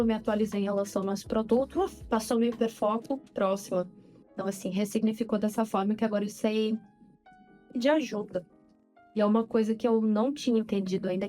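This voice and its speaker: Animated woman, mellow voice. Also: medium pitch. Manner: animated, talks fast with many repeats. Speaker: podcast guest. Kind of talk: casual interview conversation.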